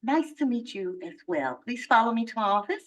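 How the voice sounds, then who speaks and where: An actress playing a formal immigration officer who runs an interview in a measured, procedural way, heard from a video played at a distance. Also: female voice, on the high side, big pitch swings.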